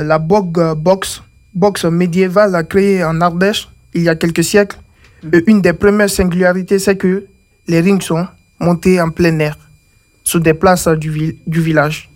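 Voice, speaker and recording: A man presenting a playful mock radio show, casually handling a call and reassuring the caller again and again. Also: high-pitched. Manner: casual, reassuring, says t'inquiète a lot.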